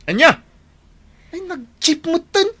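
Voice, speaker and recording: A man's voice: very high voice, big pitch swings, slow, pushed and bright, exaggerated voice. A man acting as a boastful, big-spending groom in an Ilocano radio drama, swaggering and exclaiming with an Ilocano Filipino accent. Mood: surprised, amused.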